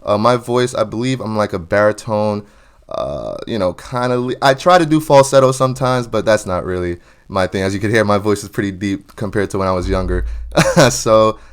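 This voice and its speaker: Young guy with a low smooth voice, laid back, lots of you know's. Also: normal speed. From New Jersey or New York area. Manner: relaxed, laughs often, many "you know" fillers. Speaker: guest singer. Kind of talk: casual interview chat.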